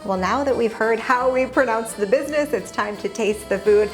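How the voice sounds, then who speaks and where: A woman's voice: fairly high pitch, moderate pace, soft background music. Woman host on a local tv feature, upbeat friendly questions. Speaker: show host and interviewer.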